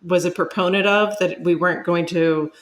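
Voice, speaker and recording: A woman with a voice a little on the low side speaks as an interview guest. She talks as an expert in a conversational way, thoughtfully laying out her explanations piece by piece. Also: steady tone, moderate pace.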